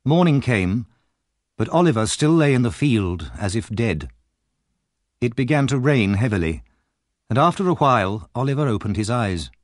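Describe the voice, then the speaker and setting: Expressive male audiobook narrator, dry sound. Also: medium pitch, moderate pace, dry recording. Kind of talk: scripted story narration.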